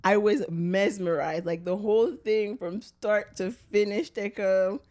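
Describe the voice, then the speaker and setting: Woman, mid pitch, breezy slang, casual podcast co-host. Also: steady tone, slow, warm tone. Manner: breezy, slangy, mixes French and English. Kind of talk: casual chat.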